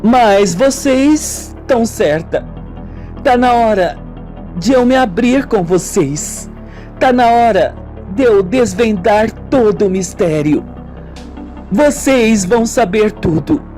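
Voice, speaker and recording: A woman with a Brazilian accent playing a wounded, brooding woman confessing her childhood in a melodramatic, deliberate radio soap style. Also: a little high, lively intonation, warm tone. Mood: ominous, solemn. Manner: slow, melodramatic, deliberate storytelling. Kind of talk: amateur radio soap acting. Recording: music bed under the voice.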